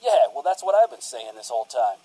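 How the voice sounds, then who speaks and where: Radio comedy guest: a sincere, rambling small-town cult father voiced by a man, relaxed and dark-toned. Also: mid-range voice, brisk, husky, rough recording. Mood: earnest. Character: earnest, folksy male priest of a rock cult. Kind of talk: comedic radio-play interview.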